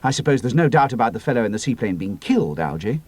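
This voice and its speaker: A man voicing a brisk, commanding male squadron leader who gives crisp, decisive orders. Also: medium pitch, sing-song, a little mellow, dry recording.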